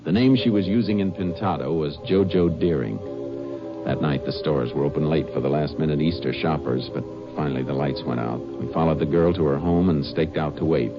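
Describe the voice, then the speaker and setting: Shrewd Texas lawman, very low pitch, matter-of-fact, terse, 1950s radio drama, music on a dull old recording. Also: a little fast. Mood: terse narration. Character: steady, shrewd Texas Ranger, male lawman narrating his case.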